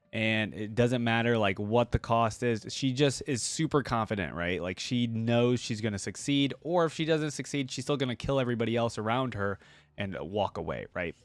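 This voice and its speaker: A man's voice: medium pitch, no echo. A man hosting a reaction video, commenting casually and thinking aloud in an informal way.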